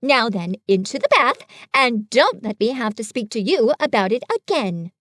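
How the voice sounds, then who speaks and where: A woman's voice: on the high side, very animated, unhurried, dry recording, studio quality. A woman voicing a cheerful, firm, motherly female kangaroo who talks soothingly.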